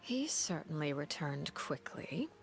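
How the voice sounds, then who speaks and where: A commanding, calculating woman from a video game. Her voice sits low and her speech is formal, deliberate and composed. Soft music plays underneath. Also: very animated, creaky, full character voice. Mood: measured, polite.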